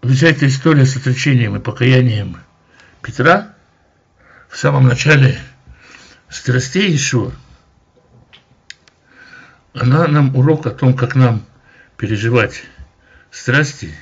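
A man explains a Bible chapter passage by passage, speaking in a measured, explanatory way and now and then pausing to hesitate. His voice sits at an ordinary pitch and has a husky edge. The recording sounds dull and lo-fi. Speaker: Bible teacher. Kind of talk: verse-by-verse Bible commentary.

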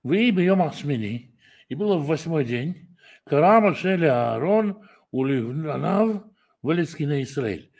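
A man praying and then teaching from Scripture, speaking slowly and deliberately, often pausing to correct his own words. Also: fairly high pitch, animated, vocal fry, darkish tone. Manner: slow, measured delivery with frequent hesitations and self-corrections. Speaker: preacher and Bible teacher. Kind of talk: prayer, then a Torah study lesson.

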